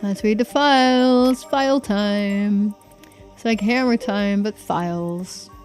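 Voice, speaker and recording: A woman's voice: medium pitch, takes it slowly, darkish tone. Woman streamer with music behind her. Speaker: game streamer. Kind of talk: game playthrough, reading text aloud. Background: game music, faint to clear.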